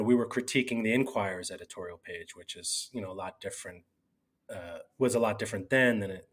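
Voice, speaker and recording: A middle-aged man from Pennsylvania giving a rambling account of his journalism career in an interview, full of fillers and restarts. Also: fairly low pitch. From Bucks County, Pennsylvania. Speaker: newspaper editor, guest. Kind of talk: casual interview talk.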